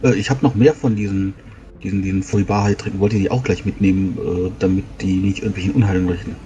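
A man with a fairly low, very mellow voice plays a tabletop role-playing game. He talks quickly and chattily, repeating words as he moves between in-character speech and short narration. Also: steady tone, highs cut off. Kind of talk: casual in-character role-play with brief narration.

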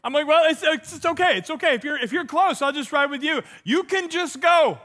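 Middle-aged male pastor, fairly high and bright voice, lively self-deprecating preaching style on a big church stage. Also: brisk. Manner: animated, self-deprecating storytelling with lively gestures, pacing the stage. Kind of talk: sermon.

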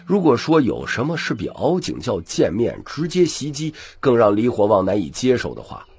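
A man narrating an audiobook in a scripted, dramatic storytelling style, with a husky, gravelly voice. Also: mid-range voice, wildly swooping pitch, moderate pace, a little mellow, no echo.

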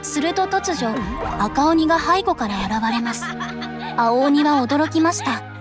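A female storyteller reading a gentle folk tale for a game. Her voice is high, and music plays clearly behind her scripted narration. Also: animated, unhurried. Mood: gentle, dramatic.

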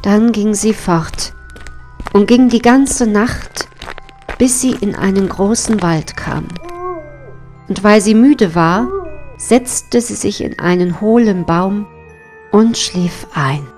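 Slow-paced woman storyteller. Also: mid-range voice, a little mellow, soft background music. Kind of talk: scripted fairy-tale storybook narration.

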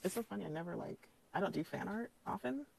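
A woman artist hosting a live drawing stream, chatting in a relaxed, tired late-night way. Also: mid-range voice, quick pace, creaky, a little hiss or hum. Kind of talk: casual chat while drawing.

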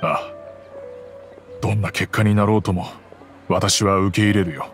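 A man voicing a deep, contrite older man. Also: flat delivery, moderate pace, natural voice. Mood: solemn. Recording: game audio with loud music behind.

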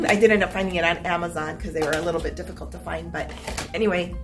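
A middle-aged woman filming a casual unboxing vlog at home, upbeat and chatty, her voice slightly hoarse as if she is losing it. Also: on the low side, steady tone, fast, music in the background. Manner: chatty and upbeat, clears her throat now and then. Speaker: vlogger. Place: small home room with stacked boxes, indoors.

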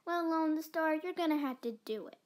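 A woman's voice: high voice, normal speed, pitched above the performer's own voice, close to an everyday voice. A young female performer voicing a strict, bossy female gym teacher in a home doll video, curt and commanding. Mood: dismissive. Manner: barking orders, curt. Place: carpeted bedroom floor.

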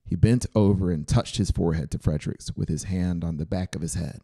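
Male audiobook narrator, low mellow voice, scripted storytelling, close studio mic. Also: fairly quick, gravelly, breathy edge. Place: small brick-walled home studio. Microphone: close dynamic mic on a boom arm.

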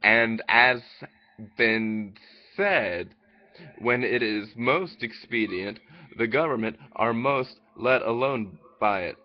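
A man's voice: medium pitch, takes it slowly, highs cut off. A man in his twenties reading an old essay aloud in a small room, stumbling and restarting words as he goes. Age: 20s. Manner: stumbling, repeating words and restarting mid-word. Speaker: vlogger. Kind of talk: halting read-aloud under a speech jammer. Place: small room by a window, indoors.

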